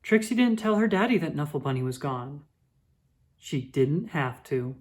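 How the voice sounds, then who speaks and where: Female storyteller for picture-book read-aloud: low register, highly animated, dramatic emphasis. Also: normal speed. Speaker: children's book reader.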